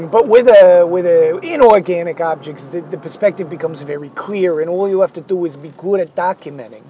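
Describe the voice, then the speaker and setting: A man with a hoarse, failing voice talking through an art lesson, digressive and hesitant with many fillers and sardonic asides. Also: high voice, moderate pace, few pauses, warm tone, muffled recording, slight background noise. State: hoarse, losing his voice. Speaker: art instructor. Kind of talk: rambling spoken art lesson.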